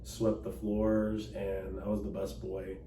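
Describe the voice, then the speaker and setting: Low, flat-voiced man in an echoey room. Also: takes it slowly. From Dallas, Texas. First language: Cantonese. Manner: relaxed, conversational, frequent fillers. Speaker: political candidate and attorney. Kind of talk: casual interview conversation. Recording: echoey room, distant mic, background noise.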